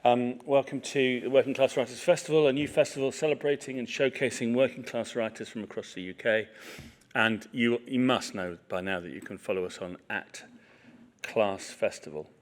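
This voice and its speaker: A man's voice: medium pitch, normal speed. A middle-aged man chairing a festival panel in a small hall, chatty and self-deprecating with many fillers. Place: small event hall with audience.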